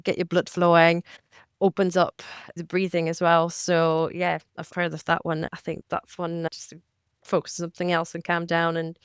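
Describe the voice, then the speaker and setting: A woman's voice: on the low side, monotone, normal speed, clear, resonant tone, no echo, clean studio sound. A woman co-hosting a podcast, interviewing a guest in a friendly way with long, searching questions. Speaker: podcast co-host.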